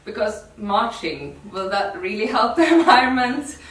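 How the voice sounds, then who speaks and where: A woman from Norway with a Norwegian accent gives an informal workshop talk in non-native English, halting and repeating words. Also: medium pitch, lively intonation. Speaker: activist workshop speaker. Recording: echoey room, distant mic.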